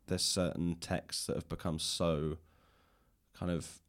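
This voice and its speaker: A man hosting a relaxed conversational interview, thinking ideas through aloud in a thoughtful, hedging way. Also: low voice, slow. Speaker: podcast host and interviewer. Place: indoors, in a library.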